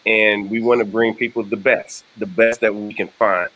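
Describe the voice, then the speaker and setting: Bright-toned American man hosting. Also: lowish voice, flat delivery, moderate pace, dull audio. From United States. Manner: earnest, stammering restarts. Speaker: host. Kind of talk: casual video-call interview. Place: indoor room. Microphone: video call.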